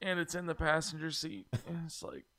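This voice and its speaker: A man in his thirties hosting a casual comedy podcast, telling things in an animated, profane way with mocking quotes. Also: a little high, fairly quick. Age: 30s. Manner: animated, profane, mock-quoting storytelling. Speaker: podcast host.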